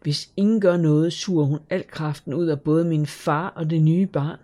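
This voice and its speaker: A narrator voicing a frightened, sad young girl who speaks quietly and hesitantly. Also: mid-range voice, fairly even intonation, natural voice. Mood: fearful hushed.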